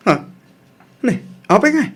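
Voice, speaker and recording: A man's voice: measured pace, fairly bright tone, close to an everyday voice. A man playing a short-tempered, domineering husband in a radio drama, with a brusque, confrontational way of talking. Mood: gruff, casual. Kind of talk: Ilocano radio drama acting.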